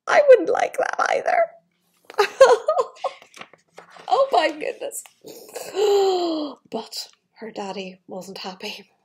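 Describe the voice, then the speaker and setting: A woman storyteller with a high, lively voice speaks to small children. She takes her time and breaks off into wondering exclamations about the pictures. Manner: exclamatory, wondering, addressing young listeners. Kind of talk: animated picture-book storytelling with asides. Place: bright living room with large glass doors.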